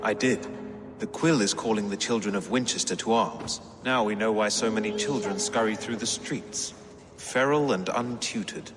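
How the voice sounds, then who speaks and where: A man voicing a learned, devout king who speaks in measured, formal phrases. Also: lowish voice, big-room sound, natural voice. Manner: scholarly, measured, quotes scripture. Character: learned, pious Saxon king.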